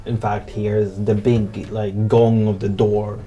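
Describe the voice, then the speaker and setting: A game master improvising narration for a tabletop roleplay in a halting, hesitant way. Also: mid-range voice, flat delivery, mellow, dull audio.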